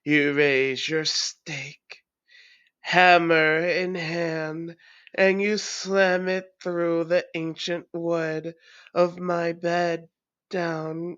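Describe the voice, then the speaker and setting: A woman voicing a menacing, taunting ancient vampire in a theatrical, deliberate audio roleplay monologue. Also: sits low, a bit bright, clear, resonant tone, no echo, dull audio, exaggerated voice.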